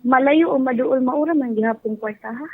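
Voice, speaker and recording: A woman's voice: high voice, fairly quick. A Filipino woman with a Cebuano accent chatting casually as a caller to a radio show. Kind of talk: casual phone chat. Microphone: phone. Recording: dull phone-in line with music behind.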